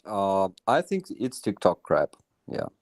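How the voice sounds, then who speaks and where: A man from Ukraine with a Ukrainian accent, speaking haltingly and casually as a guest on a live panel. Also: low-pitched, big pitch swings, no room sound, clean studio sound. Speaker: guest, soldier.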